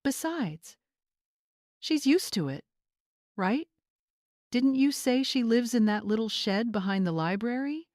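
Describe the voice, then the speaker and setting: A woman's voice: on the high side, expressive, measured pace, pauses often, darkish tone, dry recording, clean studio sound, pitched above the performer's own voice. Spoiled young influencer bride, played by a female narrator: bossy, scornful, entitled, storybook drama. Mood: sneering, bossy.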